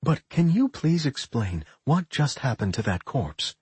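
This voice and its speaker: A weary young male adventurer, acted by a male narrator, husky and muttering. He sounds sarcastic and reluctant, a put-upon hero in a fantasy storybook. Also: medium pitch, very animated, a little slow, a little mellow, dry recording, pitched above the performer's own voice, heavily acted. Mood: grumbling.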